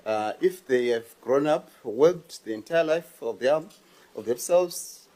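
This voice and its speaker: A middle-aged man, answering a committee as a company finance officer in halting, formal speech with many restarts. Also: mid-range voice, animated, warm tone. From Zimbabwe. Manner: hesitant, restarting and stammering, formal. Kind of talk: testimony before a committee.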